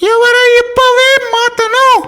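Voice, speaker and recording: A woman voicing a bossy, excitable schoolboy who keeps complaining in a cartoon. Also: very high voice, slow, slight background noise, exaggerated voice. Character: pushy, excitable schoolboy cartoon character, squeaky voice. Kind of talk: cartoon dialogue.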